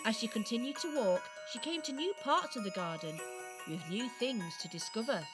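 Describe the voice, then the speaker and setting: A woman's voice: a little high, lively intonation, normal speed, music in the background, close to an everyday voice. A woman narrator reading a scripted children's story for a radio play.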